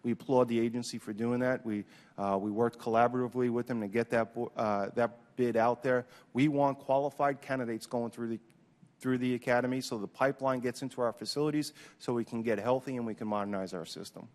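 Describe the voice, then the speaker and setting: A man's voice: medium pitch, fairly even intonation, fairly quick, darkish tone. A man testifying as a witness, giving unscripted answers at a formal hearing. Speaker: union leader testifying as witness. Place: large indoor hearing room. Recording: echoey hearing room.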